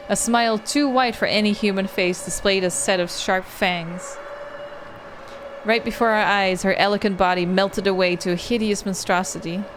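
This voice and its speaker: A woman's voice: fairly low pitch, background noise, close to an everyday voice. A woman playing an earnest horror-game protagonist, reading the narration in a dramatic storytelling manner.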